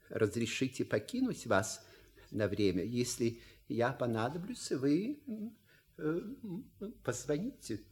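An actor playing a suave, wry manservant with polite, measured, theatrical delivery in a stage comedy audio play. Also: male voice, mid-range voice, darkish tone, husky, exaggerated voice. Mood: discreet, polite. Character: suave, wry manservant reading out a scandalous letter.

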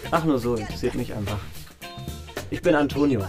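A man's voice: mid-range voice, music in the background, natural voice. An actor playing a shy, curious, self-conscious man in his thirties in a naturalistic radio play. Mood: tentative. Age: 30s. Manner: hesitant, halting, self-conscious.

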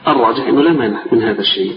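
A man with an Egyptian accent teaching a religious lesson. His voice is tired and strained. He reads a text slowly, then explains it in colloquial speech and repeats the key phrases. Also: mid-range voice, a crowd in the background. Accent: Egyptian Arabic. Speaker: sheikh teaching a class. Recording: distant, echoey, dull-sounding lecture recording with music.

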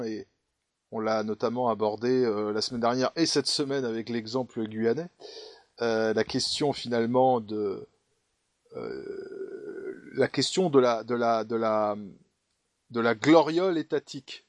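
A man's voice: mid-range voice, a little slow, dry recording. A man hosting a panel discussion, speaking casually with frequent hesitations. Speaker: host.

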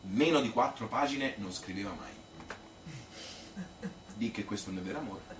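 A man playing a chatty old Tuscan grandmother who tells her life story in a colloquial, rambling, halting stage monologue. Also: on the low side, natural voice. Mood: rambling, offhand. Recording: roomy, noisy, distant stage recording.

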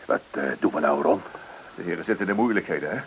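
An actor playing a composed, defiant police inspector in an old radio play. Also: male voice, deep voice, fast. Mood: dry, defiant. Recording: very noisy, muffled old radio recording.

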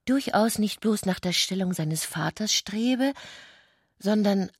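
A woman narrates literary fiction for radio in a husky, breathy voice with a creaky edge. The recording is very dry and intimate in its lack of room sound. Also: mid-range voice, lively intonation, takes it slowly, no room sound.

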